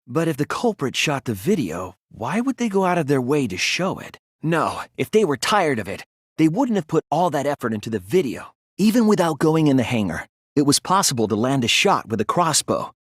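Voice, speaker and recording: A man voicing an earnest, soft-spoken teenage boy detective in a video game, reasoning things out deliberately with a slightly breathy voice. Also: on the high side, sing-song, no room sound, studio quality. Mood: analytical, composed. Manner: deliberate, earnest, analytical explaining with dramatic emphasis. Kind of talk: English video game voice acting, deductive monologue.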